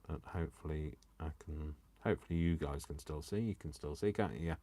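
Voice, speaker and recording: Deep-voiced chatty English crafter. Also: male voice, fairly quick, warm tone, no echo. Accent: Coventry English, worn by years in Yorkshire. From England. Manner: chatty and self-mocking, talks to himself and the viewers. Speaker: crafting livestreamer. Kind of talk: live crafting demonstration chat.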